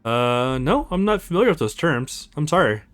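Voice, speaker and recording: A man plays an ancient vampire lord from a visual novel. He is courtly and wry, speaking in formal, measured phrases with very wide swings of pitch. Music plays behind him. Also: very animated. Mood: courteous, puzzled. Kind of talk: visual novel character voice read aloud.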